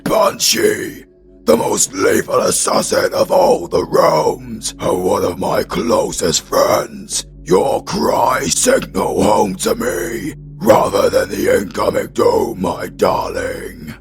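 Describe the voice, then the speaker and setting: A man voicing a big, solemn, loyal monster warrior with a gravelly growl, acting in a fantasy audio drama over music. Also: deep voice, very animated, slow, exaggerated voice. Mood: fond. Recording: music behind the voice.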